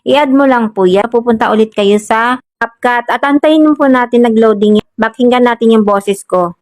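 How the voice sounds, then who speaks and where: A Filipino woman with a fairly high voice talks viewers through steps in a casual, polite tutorial style. The sound is close and dry, and her delivery is plainly instructional. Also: normal speed, a little mellow, dry recording. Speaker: tutorial host. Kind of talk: casual step-by-step app tutorial.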